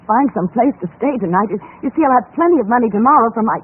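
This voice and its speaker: An actress playing a needy, ingratiating female lodger in a radio drama, polite and hesitant, with halting, pleading phrases. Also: a little high, lively intonation, slightly rough, phone-quality sound. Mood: timid, pitiful. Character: needy, ingratiating female book seller turned scheming lodger. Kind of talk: old-time radio drama acting. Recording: noisy, band-limited old radio recording.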